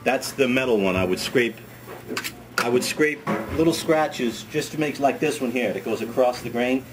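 A middle-aged man, a ski school director, demonstrating a hands-on task in a wood-paneled workshop, talking in a relaxed, chatty way and repeating words as he works. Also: medium pitch, normal speed. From United States. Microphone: camera mic on a workbench. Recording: camera audio with steady background noise.

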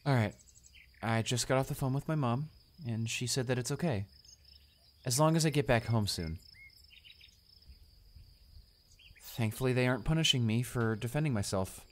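A man's voice: medium pitch, brisk, slight background noise, natural voice. A man playing a shy, kind-hearted male student in a romantic audio roleplay, hesitant, stammering and apologetic. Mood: relieved.

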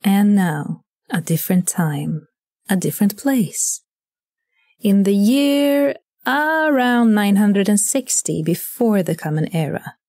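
A woman's voice: medium pitch, very animated, takes it slowly, a little mellow, no echo, studio quality. A woman narrating a scripted story as a storyteller for an audio drama.

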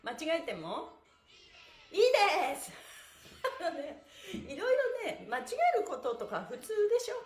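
A woman's voice: sits high, unhurried, pauses often. A middle-aged woman leading a livestreamed stretch class in a cheerful, giggly, encouraging way, with plenty of energy. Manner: energetic, chanting counts and slogans. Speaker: stretch class host and pantomime performer. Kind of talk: livestreamed exercise instruction with chat to viewers. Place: small bare-walled indoor room. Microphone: phone or camera a short distance away. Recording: echoey room, distant camera mic.

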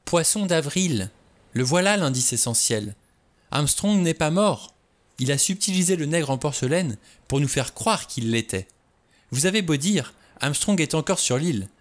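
A man reading an audiobook, voicing a frightened young woman who speaks in a high, strained, urgent way. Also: animated, normal speed, lightly acted. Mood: insistent.

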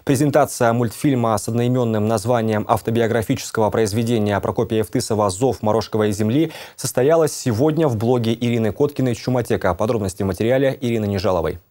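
Fast young male news anchor, mellow tone. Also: mid-range voice. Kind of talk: scripted news reading. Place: indoor TV studio.

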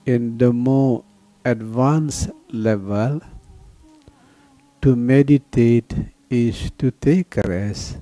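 Man, meditation teacher, unhurried and mellow. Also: medium pitch, no room sound. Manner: slow, calm, with long pauses. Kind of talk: guided meditation.

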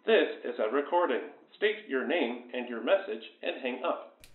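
An actor playing an impersonal, clipped government announcer in a radio drama. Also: male voice, mid-range voice, expressive, moderate pace, narrow, tinny audio, loud music behind the voice, close to an everyday voice. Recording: echoey, faint noise.

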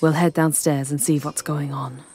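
A woman performing scripted ASMR roleplay in a hushed, deliberate, theatrical manner. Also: low-pitched, flat delivery, soft background music.

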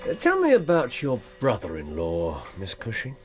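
An actor playing a keenly observant, self-assured Victorian gentleman detective with an English accent, precise and probing, in a radio drama. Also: mid-range voice, wildly swooping pitch, moderate pace, soft background music. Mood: brisk, probing. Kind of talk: period radio drama acting.

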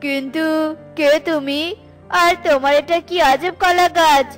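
A woman's voice: sits high, steady tone, a little slow. A woman voicing an indignant cartoon bird guarding its fruit tree, in a confrontational, questioning manner. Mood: suspicious. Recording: music behind.

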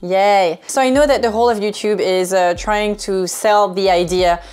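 A woman's voice: medium pitch, normal speed, darkish tone, clear, resonant tone. Candid woman vlogger over background music. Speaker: sailing vlogger. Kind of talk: casual vlog talk to camera. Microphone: clip-on wireless lapel mic.

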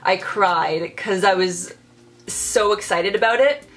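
Slow-talking young woman with a stutter. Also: medium pitch, a bit bright, faint music behind. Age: young adult. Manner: stutters with repeated sounds and blocks. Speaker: vlogger. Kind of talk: casual get-ready-with-me chat. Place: bedroom, indoors.

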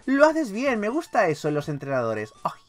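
A man with an accent from Spain giving casual, excitable gaming commentary, repeating his phrases and swearing freely. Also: sits high, normal speed. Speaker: gaming YouTuber, host. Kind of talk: casual, excitable Pokémon let's play commentary. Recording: game music behind voice. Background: video game music.

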